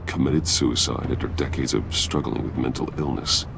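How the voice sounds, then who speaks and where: An actor with an American accent playing a grim, stern masked vigilante in a video game. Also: male voice, a bass voice, steady tone, normal speed, creaky, noisy background.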